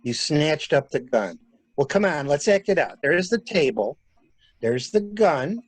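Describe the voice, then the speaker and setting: A man acting a sharp, sardonic stranded traveler who probes insistently, in a dramatic mystery reading. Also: on the high side, very animated, unhurried, husky, dry recording, full character voice. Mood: goading. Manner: probing, insistent, theatrical. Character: stranded male traveler turned sharp, sardonic amateur interrogator.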